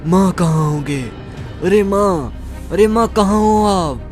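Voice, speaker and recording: A man's voice: high voice, takes it slowly, faint music behind. A man playing an eighteen-year-old son, a naive but determined hero, speaking emphatically in a melodramatic story. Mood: troubled. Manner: dramatic, emphatic.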